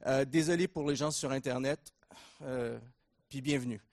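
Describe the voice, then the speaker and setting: A middle-aged man with a Québécois accent giving a conference lecture, relaxed and joking, with many fillers and restarts. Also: mid-range voice, moderate pace. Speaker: invited lecturer at a training conference.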